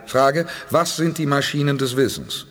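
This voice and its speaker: An actor playing a calm, decisive male spaceship commander who reasons things through in a measured way, in a German radio play. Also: medium pitch, lightly acted. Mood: steady. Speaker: lead character.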